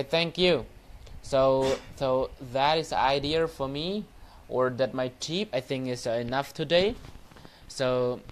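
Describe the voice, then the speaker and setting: Halting man with a Cambodian accent. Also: mid-range voice, a little hiss or hum. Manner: halting, repetitive, non-native English phrasing. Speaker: Buddhist monk teacher and livestream host. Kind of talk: informal livestreamed motivational talk and lesson.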